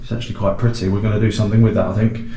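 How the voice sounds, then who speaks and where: Male vlog host, lowish voice, halting casual tour full of fillers, echoey empty rooms. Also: flat delivery, dark tone, a little hiss or hum. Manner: hesitant, many ums, self-correcting. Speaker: renovation channel host. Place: gutted small cottage, indoors and out.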